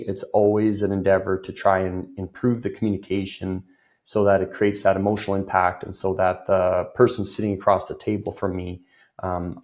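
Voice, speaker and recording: Man, low-ish voice, a little rough from a cold; loose and talkative interviewee. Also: darkish tone. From Ireland. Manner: loose, filler-heavy, laughs easily. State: recovering from a cold, voice a bit off. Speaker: guest, business consultant. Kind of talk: conversational interview.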